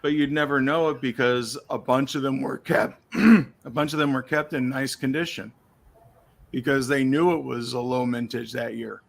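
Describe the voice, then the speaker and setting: A man of middle pitch in a casual online chat, losing his voice. He lays things out steadily and in plain detail. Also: fairly even intonation, moderate pace, gravelly. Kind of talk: casual chat about coins.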